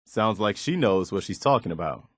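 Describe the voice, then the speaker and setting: Principled militia officer, video game companion, lowish pitch, sincere, plain-spoken, morally firm, dry studio sound. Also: male voice, animated, normal speed, no echo. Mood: resigned, doubtful. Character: earnest, principled militia officer, loyal but easily disappointed.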